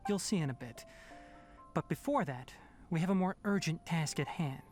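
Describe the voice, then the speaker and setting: An actor playing a calm, thoughtful young male scholar in a video game, speaking in a measured, articulate way. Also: a little high, soft background music, close to an everyday voice. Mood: calm, purposeful.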